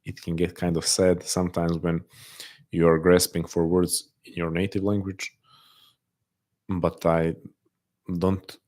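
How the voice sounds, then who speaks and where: Very deep, flat-voiced man with a non-native accent. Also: measured pace, pauses often. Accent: non-native English, often taken for Russian. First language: not English. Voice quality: soft-spoken, hesitant, many restarts. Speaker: livestream host answering chat. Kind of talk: casual live chat.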